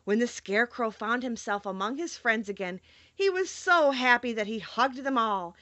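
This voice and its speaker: A woman narrates a storybook in a high, very animated voice. Her reading is expressive and scripted, recorded dry and close. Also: normal speed, no echo. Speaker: audiobook narrator.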